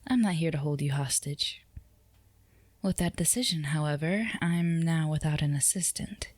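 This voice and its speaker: A woman playing a flirtatious, composed CEO boss in a scripted ASMR roleplay, speaking to the listener in an unhurried, teasing, formal way. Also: sits low, a little mellow, dry recording. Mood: composed, persuasive.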